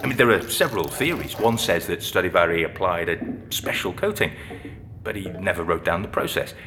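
Low-voiced, thoughtful restorer. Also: male voice, bright, forceful tone, a little hiss or hum. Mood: thoughtful, enthusiastic. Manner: unhurried, explanatory, conversational with hesitations. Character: friendly, thoughtful male music-box restorer, fascinated by mysteries. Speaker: actor playing an interviewee. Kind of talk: naturalistic audio drama dialogue.

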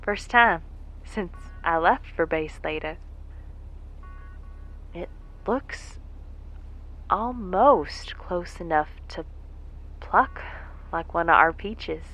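Longing folksy woman, super slow drawly musing, lowish voice, bit of music behind. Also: a bit bright, exaggerated voice, rough recording. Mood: wistful. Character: wistful, longing woman yearning for loved ones. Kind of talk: scripted audio drama monologue.

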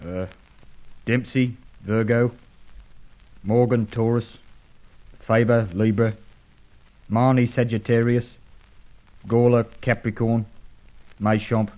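A man's voice: mid-range voice, level tone, slow pace, long pauses, mellow, lightly acted. An actor playing a nervous, whining crook's henchman who pleads in slang, in an old radio drama. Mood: reluctant. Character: nervous, whining male criminal henchman, uneducated. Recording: muffled old radio recording.

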